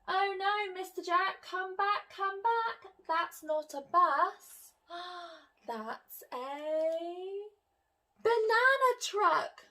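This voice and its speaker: Alarmed female picture-book character, put on by a woman reader, shrill-high, crying out drawn-out urgent warnings. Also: lively intonation, sharp tone, full character voice. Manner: exclaiming, drawn-out urgent calls.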